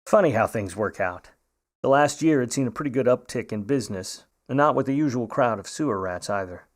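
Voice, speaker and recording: An actor playing a sardonic, world-weary 1950s Hollywood private eye in a radio play, with hard-boiled delivery. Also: male voice, medium pitch, expressive, fairly quick, natural voice. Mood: wry narration. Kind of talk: noir radio play narration and dialogue.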